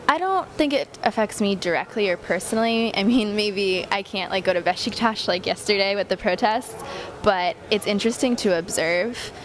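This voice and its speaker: A woman's voice: mid-range voice, normal speed. A young woman from California giving thoughtful, hesitant street interview answers. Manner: thoughtful, uses fillers. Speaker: interviewee, foreign student. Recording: noisy outdoor street recording.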